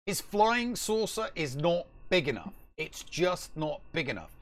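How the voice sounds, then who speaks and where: A man's voice: a little high, very animated, unhurried. A man co-hosting a casual gaming podcast, talking in a rambling, emphatic way and repeating himself for stress. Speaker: podcast co-host.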